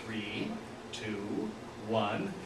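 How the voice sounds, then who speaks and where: Guy doing a calm clever hero, totally deadpan, sounds roomy and distant. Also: lowish voice, very slow, noisy background, full character voice. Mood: unbothered. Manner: deadpan, matter-of-fact. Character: impassive, calm, clever male hero, unflappable.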